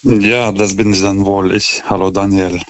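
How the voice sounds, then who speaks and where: A man's voice: sits low, little pitch movement, normal speed. A man, not a native speaker of the language, calling in to a radio show and talking hesitantly with many fillers and restarts. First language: not German. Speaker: caller. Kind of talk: call-in radio chat. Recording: dull phone-line audio.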